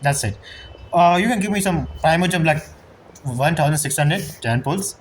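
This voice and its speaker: A man's voice: fairly high pitch, lively intonation, normal speed, husky. A man giving casual, rambling, joking commentary as he plays a game. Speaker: game streamer. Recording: game music behind.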